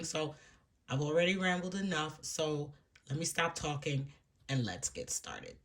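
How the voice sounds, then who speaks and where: A woman with a stuffy, froggy voice from allergies, chatting casually and rambling a bit as she walks through her budget for viewers at home. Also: low voice. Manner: rambling and chatty, with soft laughs and hushed asides. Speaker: budgeting vlogger. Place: room at home.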